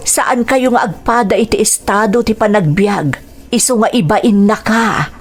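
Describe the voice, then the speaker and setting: A woman voicing a stern, protective older mother who gives slow, measured advice in a radio drama. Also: mid-range voice. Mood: grave, advising.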